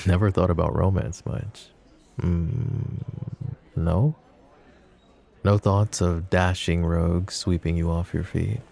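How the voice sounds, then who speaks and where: A man playing a flirty, possessive mafia boss in a roleplay ASMR drama, smooth-talking, teasing and protective. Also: low voice, level tone, slow pace, long pauses, warm tone, heavily acted. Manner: smooth-talking, teasing, pet names, sighs.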